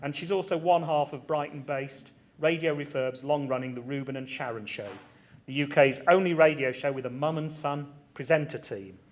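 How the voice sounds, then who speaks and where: A man's voice: a little high, steady tone, moderate pace. A man chairing a literary panel, introducing the speakers to an audience.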